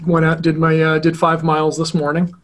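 A man's voice: a little high, completely flat delivery, brisk. Stuffy-nosed chatty man. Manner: rambling, chatty. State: stuffy, nasal congestion. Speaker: podcast co-host. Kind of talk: casual chat.

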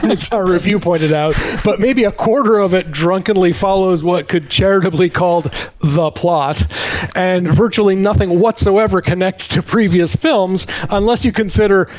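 High-pitched sardonic man recapping a movie. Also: moderate pace, darkish tone, muffled recording. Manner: sardonic, emphatic. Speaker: podcast reviewer. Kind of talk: comic movie recap, dramatic reading.

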